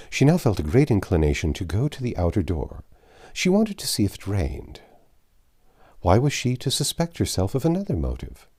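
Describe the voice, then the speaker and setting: A man with a very low, mellow voice reads literary fiction aloud. His pitch moves widely as he tells the story, with measured, deliberate phrasing. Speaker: audiobook narrator. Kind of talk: literary novel reading, scripted narration.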